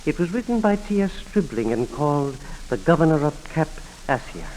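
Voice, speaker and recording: An actor playing a pedantic, polite professor and amateur sleuth in a 1940s radio mystery, speaking a little hesitantly. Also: male voice, fairly high pitch, sing-song, normal speed, loud music behind the voice, rough recording. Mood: measured, reminiscent. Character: slightly pedantic, smallish gentleman psychology professor, amateur sleuth. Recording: old 1940s radio broadcast.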